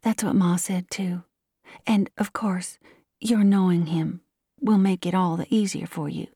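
A woman voicing a demure young country woman in a storybook, speaking in a monotonous recitation with rustic dialect. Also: lowish voice, unhurried, breathy edge, no room sound, studio quality. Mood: simple, quietly sly.

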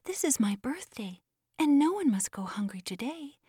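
A woman voicing an excited six-year-old boy in a children's storybook tale, speaking quite a bit higher than her narration. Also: moderate pace, husky, dry recording, studio quality, heavily acted. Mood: eager. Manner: excited and bright.

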